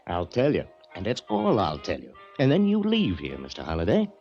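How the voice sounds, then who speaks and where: Swooping, deep-voiced, wary radio bartender. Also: male voice, wildly swooping pitch, brisk, soft background music, heavily acted. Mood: amused, knowing. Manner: slangy, guarded, folksy bad grammar. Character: evasive, wary bartender who sells tips. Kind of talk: 1940s radio drama acting. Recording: dull old radio recording.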